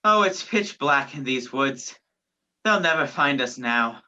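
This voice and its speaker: A woman's voice: extremely low pitch, expressive, measured pace, bright, forceful tone, full character voice. A woman acting in a radio play as a sardonic, commanding man on the run, with dry sarcasm and clipped orders. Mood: weary, relieved. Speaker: student stage actor. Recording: dull, lo-fi virtual recording.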